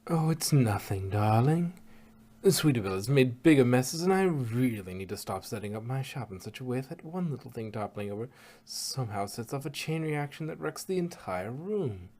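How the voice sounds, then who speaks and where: A man's voice: mid-range voice, animated, moderate pace, darkish tone, close to an everyday voice. Man reading as a genteel lady unicorn. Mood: reassuring. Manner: genteel, careful wording. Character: refined, patient adult female unicorn dressmaker. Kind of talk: fan fiction character reading.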